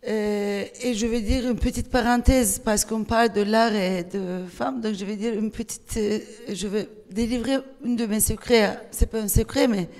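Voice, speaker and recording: A woman with a Turkish accent, a native Turkish speaker, speaking at a festival panel, hesitant, with many fillers and restarts, animated when she tells a story. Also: mid-range voice, moderate pace, few pauses. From Turkey. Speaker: panel guest, writer. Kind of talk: panel discussion. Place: festival hall. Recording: long hall reverb.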